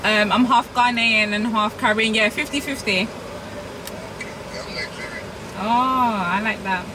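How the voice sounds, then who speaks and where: A woman's voice: fairly high pitch, normal speed, far from the mic. A young woman, chatting animatedly with friends in a car. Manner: lively, animated. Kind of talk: casual chat. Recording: noisy car recording.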